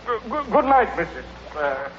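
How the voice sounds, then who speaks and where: A man's voice: high-pitched, big pitch swings, moderate pace, a crowd in the background. An actor playing an exasperated American husband in his fifties in an old radio comedy, stammering when riled, on a muffled, hissy recording. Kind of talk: 1930s-40s radio comedy acting.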